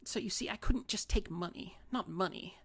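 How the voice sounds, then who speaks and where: An audiobook reader voicing a spiteful, wheedling tiny old woman in a high, breathy half-whisper. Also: moderate pace, fairly bright tone. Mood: aggrieved. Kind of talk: audiobook character voice.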